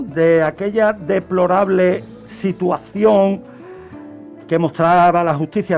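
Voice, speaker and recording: A man's voice: high-pitched, a little mellow, highs cut off. A man giving didactic, emphatic explanations in a radio discussion. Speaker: criminology commentator on a radio show.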